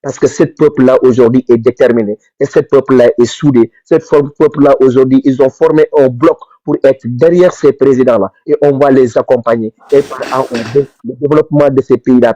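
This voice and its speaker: A man's voice: medium pitch, fairly even intonation, fairly quick, few pauses, warm tone. A man from Senegal, giving an impassioned political speech with fervent, repetitive emphasis. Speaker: pan-African activist speaker. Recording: dull dry lo-fi recording.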